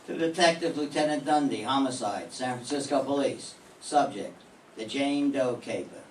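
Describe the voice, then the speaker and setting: A hard-boiled private eye, played by an older man in an old-time radio drama, dry and sardonic. His voice sits mid-pitched and comes from across a reverberant room, with a faint hiss underneath. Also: normal speed, far from the mic, lightly acted. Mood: narrating. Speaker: amateur radio play performer. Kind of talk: 1940s radio acting.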